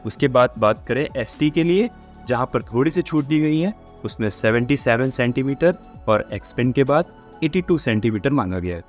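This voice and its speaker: A man presents an informal, explanatory update in a mid-pitched voice. He drops English words into his speech as he addresses his audience of candidates. Music plays behind him. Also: expressive, moderate pace. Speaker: host of a job updates channel.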